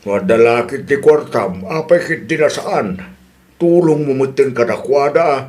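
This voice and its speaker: A man's voice: medium pitch, animated, unhurried, darkish tone, close to an everyday voice. A man with an Ilocano accent playing a reasonable husband who questions and reproves, in a comedy radio drama. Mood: matter-of-fact. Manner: remonstrating, questioning.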